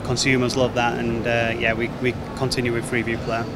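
Man pitching TVs on a busy show floor. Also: monotone, brisk. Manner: fluent, promotional, product-focused. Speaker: company marketing manager. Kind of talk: trade show interview answers. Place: busy trade show hall. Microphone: handheld mic held by interviewer. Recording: noisy trade show floor. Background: crowd chatter and hall noise.

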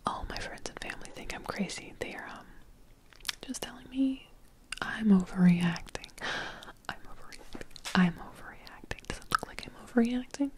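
A young woman playing a terrified, sleepless woman haunted in her house, in a halting breathy whisper for paranormal ASMR, close to the mic. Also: on the high side, lightly acted. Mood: frantic, defensive. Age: young adult. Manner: halting, repetitive, unnerved muttering. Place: small indoor home studio room. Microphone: close desk microphone with foam cover.

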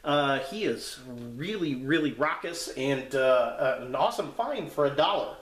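A man's voice: mid-range voice, expressive, a little slow, a bit bright. A man chatting casually and enthusiastically as he shows off collectibles as a reviewer, often repeating words for emphasis. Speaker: toy collector and video reviewer. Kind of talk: casual chatty show-and-tell of figures. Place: small room indoors, tabletop display. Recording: roomy, echoing indoor sound.

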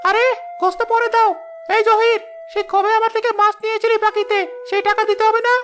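Cartoon role: bad-tempered trader chasing debts, very high, flat-pitched, insistent. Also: female voice, a little fast, faint music behind. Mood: demanding. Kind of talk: cartoon voice acting.

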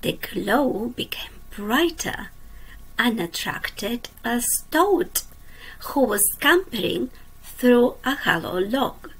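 Woman teacher, children's read-aloud at a webcam; slow and gentle, husky with some fry, quizzes the kids as she goes. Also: fairly high pitch, animated, poor audio. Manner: slow, gentle, drops to a soft whisper at line ends; asks the young listeners questions. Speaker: teacher reading a storybook. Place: indoors at a computer.

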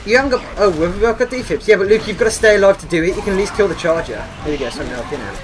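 An excitable young man giving casual commentary while playing a co-op video game with friends. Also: sits high, very fast. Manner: excitable, exclaiming. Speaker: gaming video host. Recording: game sound and music behind voice. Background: video game sound and music.

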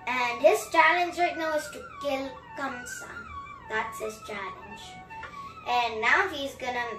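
A young girl reading a storybook aloud and retelling it in chatty, animated asides with emphatic repetition. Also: high-pitched. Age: child, about 7 to 10. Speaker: story reader, host of a children's story channel. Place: small room at home, indoors. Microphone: camera a few feet away. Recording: roomy, distant mic, music behind.

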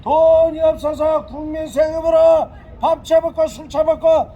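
A man at a street protest, yelling insults with drawn-out angry cries outdoors. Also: very high voice, fairly even intonation, highs cut off. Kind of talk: shouted protest rant.

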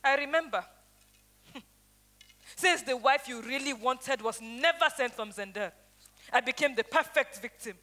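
Bitter high-voiced stage wife. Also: female voice, unhurried, long pauses, pushed and bright. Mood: bitter, accusing. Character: bitter wife, bought as a child bride. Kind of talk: stage drama acting.

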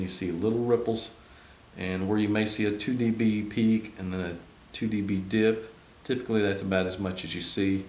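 A middle-aged man with a very low voice talks through technical material like an informal instructor. His phrasing is measured, with stops and starts. The room adds clear echo, and a faint hiss sits behind him. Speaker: host and loudspeaker designer explaining. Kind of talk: informal technical explanation to camera. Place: indoor listening room with large speakers. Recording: roomy echo, audible hiss.

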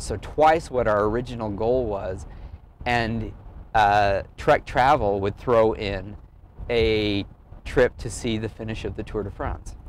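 A man talking casually in an earnest, halting way, thinking aloud as he tells a personal story in an interview. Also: on the low side, a little hiss or hum. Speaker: amateur cyclist and blogger being interviewed.

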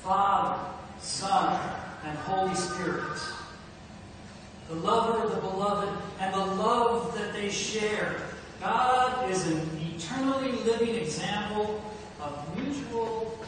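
Male pastor, middle-aged, high-pitched voice, deliberate sermon delivery in a very reverberant church. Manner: measured, deliberate delivery with pauses. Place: indoors at a church pulpit. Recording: echoey hall, distant mic, noisy background.

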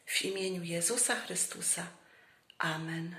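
A middle-aged woman addressing children and leading prayer in measured, devotional phrasing, as a presenter of a children's Bible programme. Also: on the low side, pushed and bright, echoey room. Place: indoors, small room with plain wall.

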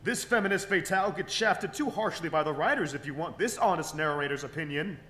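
A man's voice: fairly high pitch, brisk, sharp tone. A man acting a cheeky, showy announcer who introduces heroes in a scripted anime parody, with an echoey sound. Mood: snide. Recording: echoey, faint noise.

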